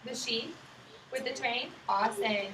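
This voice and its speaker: A woman's voice: fairly high pitch, measured pace. A young woman reading aloud to small children as a student teacher, asking simple questions in an encouraging, affirming way. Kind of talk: interactive picture-book read-aloud with questions to young children. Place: indoors in a classroom with young children. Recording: echoey room, distant mic, clear background noise.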